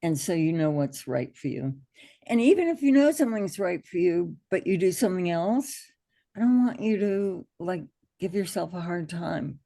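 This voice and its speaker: A middle-aged American woman with a gravelly, hoarse allergy voice, informally teaching an online hypnosis class in an encouraging, reassuring way. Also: on the low side, lively intonation, moderate pace, dark tone.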